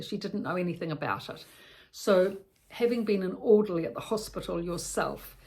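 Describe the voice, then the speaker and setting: A woman with a New Zealand accent gives practical advice as informal storytelling, in a conversational, rambling way with repetitions and self-corrections. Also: lowish voice. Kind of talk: informal instructional storytelling addressed to the listener.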